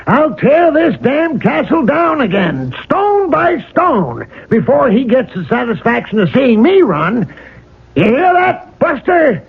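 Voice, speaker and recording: An actor playing a brash, stubborn American business tycoon in a radio play, blustering in slangy talk. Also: male voice, very high-pitched, wildly swooping pitch, slow pace, gravelly, breathy edge, heavily acted. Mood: defiant. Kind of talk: old-time radio acting. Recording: thin, phone-like old radio recording.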